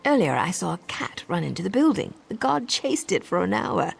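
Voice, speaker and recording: A woman's voice: on the low side, big pitch swings, normal speed, vocal fry, husky, faint music behind, heavily acted. A woman playing a sly, teasing assassin disguised as a servant in a video game. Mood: sly scheming. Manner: sardonic, playful, conspiratorial. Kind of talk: video game character acting.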